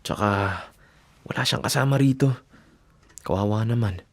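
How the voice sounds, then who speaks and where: A man acting in a radio drama as a devoted, self-sacrificing young boyfriend and son, gentle and reassuring, with a Filipino accent. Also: medium pitch, normal speed, lightly acted. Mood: apologetic, explaining. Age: young adult.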